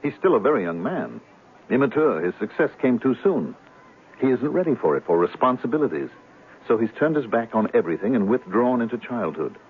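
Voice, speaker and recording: An actor playing a concerned, patient friend, gentle and coaxing, in a 1970s radio drama. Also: male voice, mid-range voice, very animated, a little fast, natural voice. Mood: grave, explaining. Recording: tinny, lightly noisy old radio recording.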